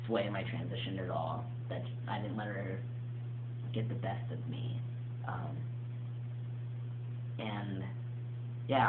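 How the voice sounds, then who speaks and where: A man's voice: on the low side, slight background noise. A young man vlogging casually about his experience, rambling with many fillers and restarts. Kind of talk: casual personal vlog. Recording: echoey room, tinny phone-like sound.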